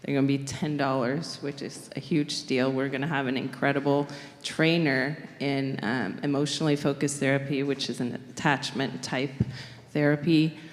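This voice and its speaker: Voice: medium pitch, steady tone, moderate pace, few pauses, in a large hall. A pastor giving a conversational, reflective sermon in a church. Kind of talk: sermon with co-speaker banter. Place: church sanctuary.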